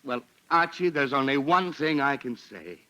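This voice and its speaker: A man's voice: medium pitch, lively intonation, normal speed, sharp tone. An actor playing an exasperated middle-aged suburban father in a 1940s radio sitcom, sputtering and repeating himself. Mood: softening. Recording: noisy old-time radio recording.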